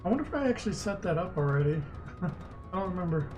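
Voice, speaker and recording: A man streaming as a game developer, casually thinking aloud and muttering haltingly to himself as he works through code. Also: high voice, sing-song, lo-fi sound. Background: music, keyboard typing.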